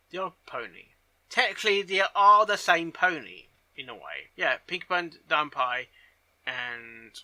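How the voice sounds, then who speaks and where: Guy chatting about a story, really sharp bright voice, kinda high, dry sound, repeats himself. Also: no room sound. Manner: halting, repeats and rephrases himself. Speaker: reaction video host. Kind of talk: casual spoken commentary on a story.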